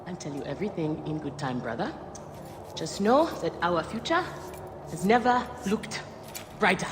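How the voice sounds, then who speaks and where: Female game fighter: ambitious, confident, dramatic, slightly low voice with big melodic swings, echoey under loud music , woman acting. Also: lively intonation, moderate pace, noisy background, natural voice. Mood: eager, assured. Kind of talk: video game cutscene acting.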